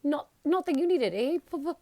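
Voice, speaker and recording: A woman voicing a nervous young male professor in a storybook, muttering and stuttering haltingly on his words. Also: high voice, expressive, warm tone, no echo, pitched above the performer's own voice. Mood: nervous, stammering.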